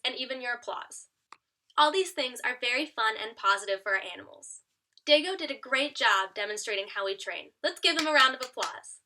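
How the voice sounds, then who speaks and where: A woman's voice: fairly high pitch, pushed and bright. A young adult woman giving a scripted educational show presentation, speaking as an upbeat presenter to an audience. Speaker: student giving an audition presentation.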